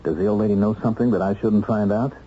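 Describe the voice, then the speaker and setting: A low-voiced man, an actor playing an earnest ex-convict husband in a radio play, measured and wry with small hesitations. The old recording is dull and a little noisy. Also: fairly even intonation, dark tone, close to an everyday voice. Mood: earnest, intent. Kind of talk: radio drama acting.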